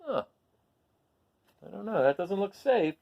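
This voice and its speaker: A man reading a children's picture book aloud expressively, pausing for asides and questions to his listeners. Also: high voice, very animated, creaky, dull audio. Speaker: read-aloud storyteller.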